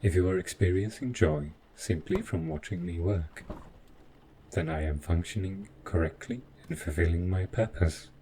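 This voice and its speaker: A very deep-voiced robot butler, acted out by a man, calm and devoted. He speaks in stiff, precise, deferential phrases, like a servant in a sci-fi audio drama. A steady noise sits behind the voice. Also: moderate pace, natural voice. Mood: calm, attentive. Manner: formal, stiffly precise, deferential, avoids contractions. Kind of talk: scripted roleplay audio drama.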